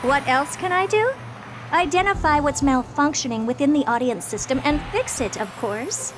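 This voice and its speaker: A woman's voice: high-pitched, expressive, normal speed. A woman voicing a haughty genius scientist in a video game, who talks bluntly and dismissively. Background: game music.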